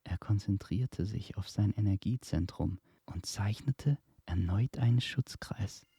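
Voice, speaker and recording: A man narrating a fantasy tale in a suspenseful, measured storytelling manner. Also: fairly low pitch, gravelly, warm tone, slightly rough, no room sound. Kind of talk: dramatic scripted fantasy narration.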